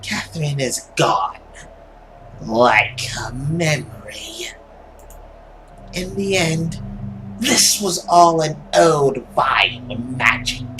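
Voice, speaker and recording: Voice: medium pitch, sing-song, fairly bright tone, slight background noise, exaggerated voice, poor audio. Swooping, melodramatic villainous love creature. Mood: vengeful, brooding. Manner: melodramatic, drawn-out delivery with swoops and whispers. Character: cruel, theatrical vampiric love creature, haughty and vengeful villain. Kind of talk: dramatic visual novel character reading.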